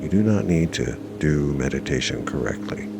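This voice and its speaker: A man with an extremely deep, creaky voice guides a meditation over clearly audible music. He speaks slowly in short, measured phrases with long pauses, reassuring the listener as he goes. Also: expressive. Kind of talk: guided meditation narration, self-hypnosis.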